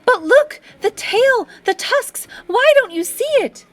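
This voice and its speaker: Woman doing an excitable high-pitched cave child. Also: slow, soft background music, full character voice. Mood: breathless, pleading. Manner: eager, pleading, exclaiming. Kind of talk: storybook character read aloud.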